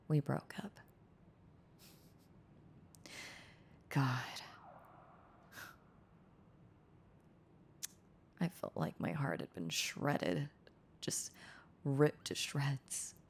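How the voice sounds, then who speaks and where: A woman playing a heartbroken, tender former girlfriend in an intimate audio roleplay, confessing haltingly in a breathy, husky voice that slips into whispers. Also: low voice, darkish tone, slight background noise, exaggerated voice. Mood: sorrowful, aching. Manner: halting, confessional, repeating words, breaking into whispers.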